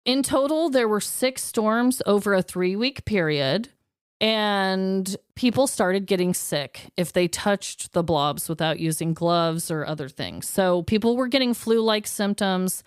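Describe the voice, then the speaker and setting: Lively woman co-hosting a podcast. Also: mid-range voice, a little slow, clean tone, dry recording, clean studio sound. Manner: informal, candid, occasionally swearing. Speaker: podcast co-host. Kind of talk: casual conversational storytelling.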